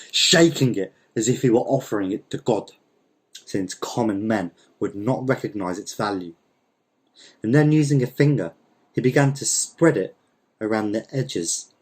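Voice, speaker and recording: A man narrating a short story aloud in a measured, even storytelling manner. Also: fairly low pitch, slightly rough. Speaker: story reader. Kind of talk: audiobook narration.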